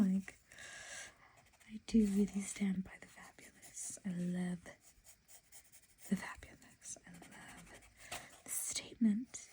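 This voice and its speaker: Woman whispering thru a design session, mellow low-key voice, faint hiss behind. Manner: soft whisper, chatty and self-talking. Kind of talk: whispered casual chat while sketching designs.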